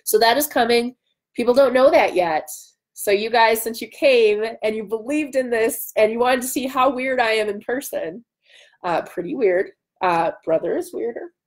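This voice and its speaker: A woman's voice: on the high side, normal speed. A woman in her thirties hosting a casual livestream, chatting enthusiastically and with self-deprecating humor as she answers viewers. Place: indoor room at home by a window.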